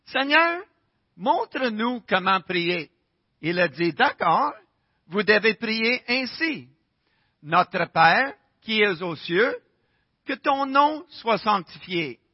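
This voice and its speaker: English-speaking Canadian pastor preaching in French, slow and deliberate, muffled close sound. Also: male voice, high-pitched, dry recording. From Winnipeg, Canada. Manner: conversational preaching with rhetorical questions. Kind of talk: sermon.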